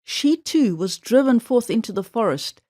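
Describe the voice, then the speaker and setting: Woman storyteller with very lively, swooping pitch. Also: normal speed. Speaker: storyteller reading aloud for children. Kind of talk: expressive scripted fairy tale narration.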